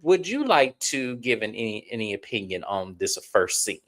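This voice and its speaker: A man's voice: lowish voice, normal speed. A man hosting a live stream, chatting casually to viewers as he recaps a reality show episode. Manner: chatty, animated, addresses viewers directly. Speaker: host.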